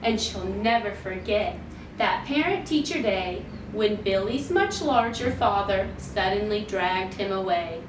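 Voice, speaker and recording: Female storytime reader, slow and deliberate, expressive rhymed read-aloud for kids, recorded in a roomy space with faint noise. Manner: measured, deliberate storytelling for young children. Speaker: children's library storytime reader. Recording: roomy, distant mic, faint noise and rumble.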